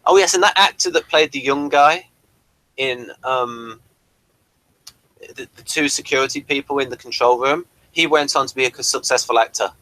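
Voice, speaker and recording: A man's voice: mid-range voice, moderate pace, bright, forceful tone, dry recording, rough recording. A man hosting a review discussion, talking in a hesitant, digressive way as he explains things. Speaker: host of a TV review show.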